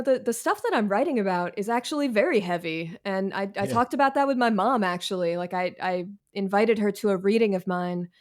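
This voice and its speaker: A woman's voice: mid-range voice, a little mellow. A woman chatting casually as an interview guest, candid and wryly self-deprecating. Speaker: guest author and essayist.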